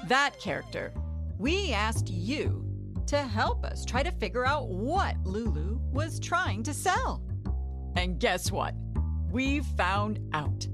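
A woman's voice: fairly high pitch, wildly swooping pitch, slow pace. A woman hosting a children's podcast, reading a script in a chirpy way straight to young listeners. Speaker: podcast host. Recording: music behind the voice.

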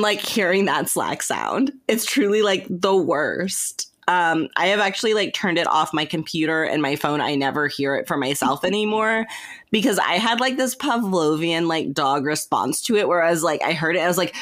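A woman's voice: mid-range voice, a bit bright. A woman co-hosting a podcast, chatting casually in an informal, emphatic way and often saying "like". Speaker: podcast co-host.

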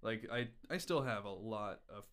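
An American man speaking as a podcast guest in casual conversation, with halting phrasing full of "like". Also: lowish voice, expressive, a bit bright.

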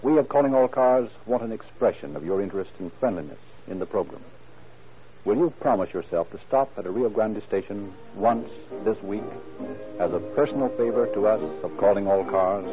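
A man's voice: fairly low pitch, moderate pace, faint music behind. A man announcing and narrating a scripted radio show in formal, earnest 1930s style. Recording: muffled 1930s radio broadcast.

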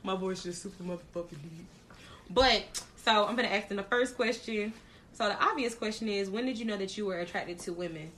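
A woman hosting a casual podcast, talking loudly with crude jokes and laughter. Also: medium pitch, fairly quick, a little hiss or hum. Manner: loud, laughing, crude joking. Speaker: podcast host. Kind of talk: casual chat.

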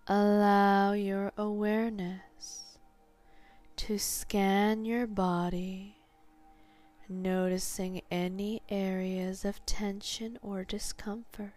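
A woman leading a sleep hypnosis session in a slow, unhurried voice. Her tone is mellow and soft, often slipping into a breathy near whisper as she guides the listener to relax. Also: medium pitch, flat delivery, faint music behind. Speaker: hypnotherapist host.

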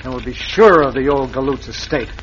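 A man playing a greedy, conniving, rough villain in an old radio western. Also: mid-range voice, fairly quick, fairly bright tone, lightly acted. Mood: scheming. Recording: muffled, faintly noisy vintage radio recording.

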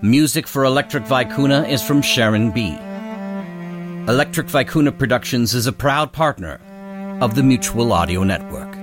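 A man's voice: medium pitch, moderate pace. A man reading scripted end credits as the announcer of an audio drama. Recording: music behind the voice.

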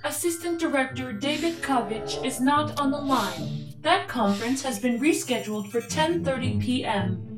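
A woman's voice: sits high, animated, normal speed, loud music behind the voice, rough recording. A woman playing a polite, literal-minded AI home assistant in a science fiction audio drama, speaking formally and precisely. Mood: dutiful, neutral.

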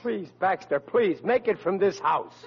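A man's voice: high voice, expressive, unhurried, creaky, heavy background noise, exaggerated voice. An actor playing a grumbling, plain-spoken middle-aged American father, blunt and exasperated, in a 1940s radio sitcom. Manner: blunt, exasperated, working-class grammar. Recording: muffled vintage 1940s radio recording.

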